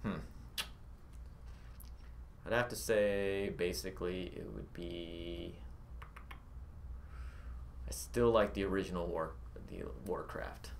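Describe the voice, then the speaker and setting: A man's voice: deep voice, steady tone, takes it slowly, pauses often, slight background noise. A man being interviewed about his game studio in a casual livestream Q&A, easygoing and rambling with fillers. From born in Vietnam, grew up in Arizona.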